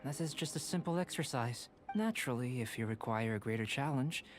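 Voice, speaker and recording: Man acting a calm, detached alchemist, over music. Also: medium pitch, lightly acted. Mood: detached, instructive. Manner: measured, clinical, deadpan. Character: calm, detached young male alchemist scholar, intensely curious. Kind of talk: anime video game voice acting. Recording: game music behind the voice.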